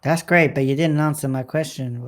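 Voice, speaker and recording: A man's voice: medium pitch, fairly even intonation, moderate pace. A man hosting a casual podcast interview, chatty and enthusiastic with lots of fillers. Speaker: podcast host.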